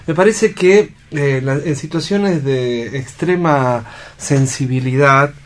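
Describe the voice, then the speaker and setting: An Argentine man reflecting aloud as a radio panelist, halting as he thinks and often checking for agreement. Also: medium pitch. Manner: thoughtful, halting, seeks agreement with tag questions. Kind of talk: reflective unscripted discussion.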